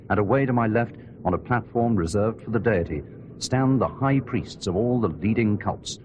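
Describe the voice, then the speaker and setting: A man playing a radio reporter who gives solemn, measured, descriptive live commentary on a royal ceremony. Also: on the low side, lightly acted. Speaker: outside-broadcast commentator. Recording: clear background noise and ambience.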